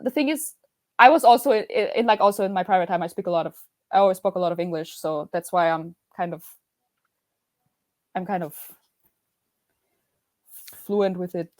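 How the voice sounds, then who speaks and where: A woman's voice: lowish voice, normal speed, pauses often, darkish tone, no echo. A young woman from Austria, German as her first language, speaking English with an Austrian German accent, chatting as a podcast guest over a video call, bubbly and laughing often. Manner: bubbly, laughs often, many restarts and fillers.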